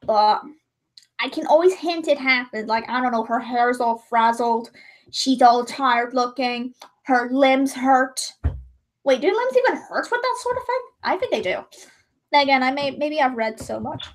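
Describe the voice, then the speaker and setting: A woman's voice: sits high, expressive, a little slow. A young woman chatting casually while drawing on a livestream, rambling and correcting herself, her voice a little strained. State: voice gone high and strained.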